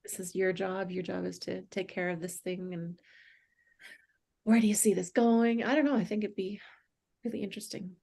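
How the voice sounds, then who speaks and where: A woman's voice: medium pitch, fairly even intonation, moderate pace, gravelly, warm tone. A woman in a casual group discussion, thinking aloud in a tentative way. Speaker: discussion participant.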